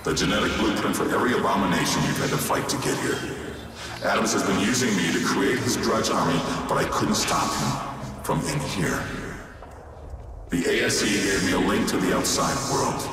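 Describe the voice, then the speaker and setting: Drenched in long, distant reverb, a captive alien guide from a video game. He is solemn and weary, giving grave, deliberate guidance to his ally over clear background music. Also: fairly low pitch. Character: imprisoned alien prisoner, solemn weary guide, self-sacrificing. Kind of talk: video game cutscene acting. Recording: echoey distant hall reverb, loud music.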